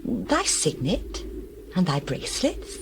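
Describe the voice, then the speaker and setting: A woman playing a bold, shrewd widow in a scripture drama, with expressive, measured delivery. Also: on the low side, background noise, exaggerated voice. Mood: bargaining. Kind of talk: dramatized scripture reading, archaic English.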